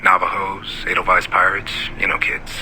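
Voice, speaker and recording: A man's voice: sits low, completely flat delivery, normal speed, sharp tone, a little hiss or hum, rough recording. A man playing a resigned, reserved father in naturalistic audio drama, laconic and dry. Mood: puzzled.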